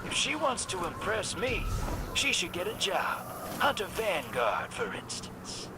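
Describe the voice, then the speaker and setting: An actor playing a brash, sarcastic rogue in a video game, irreverent and quick to irritation. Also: male voice, high voice, sing-song, background noise. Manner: wisecracking, irreverent. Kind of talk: video game cutscene voice acting.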